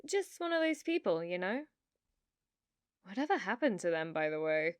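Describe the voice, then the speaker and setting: A young woman with a British accent acting a sweetly manipulative, teasing girlfriend in an intimate, soft-spoken ASMR roleplay. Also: lowish voice, sing-song, moderate pace, smooth, no echo, clean studio sound. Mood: casual, coy. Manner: coy, soft-spoken, teasing with feigned innocence.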